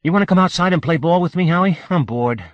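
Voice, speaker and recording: A man's voice: on the high side, fast talker, no echo, highs cut off, voice pushed up, lightly acted. A man voicing a bored young boy who begs to go play outside. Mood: eager.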